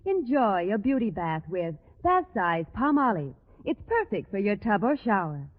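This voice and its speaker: A woman's voice: mid-range voice, very animated, moderate pace. A woman reading advertising copy in a 1940s radio style. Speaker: commercial announcer. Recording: tinny 1940s radio, long hall reverb.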